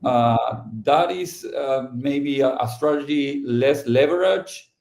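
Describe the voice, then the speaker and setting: A man's voice: mid-range voice, darkish tone, echoey room. Man answering with frequent restarts. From Argentina. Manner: halting, with many restarts and fillers. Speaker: company founder and CEO. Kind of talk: podcast interview answers.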